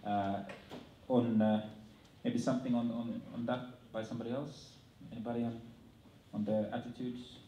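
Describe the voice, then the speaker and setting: A man with a Finnish accent moderating a panel off the cuff, halting and repeating his words. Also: medium pitch, level tone, dark tone. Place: large indoor auditorium. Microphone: handheld microphone. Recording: echoey hall, distant, faint noise.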